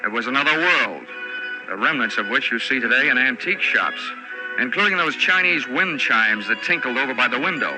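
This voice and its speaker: Wisecracking insurance detective, played by a man, mid-pitched, dry, gallant, golden-age radio acting. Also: animated, a little fast, music in the background, lightly acted, rough recording. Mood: wry, courteous. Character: wry, wisecracking freelance insurance investigator. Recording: vintage 1940s radio recording.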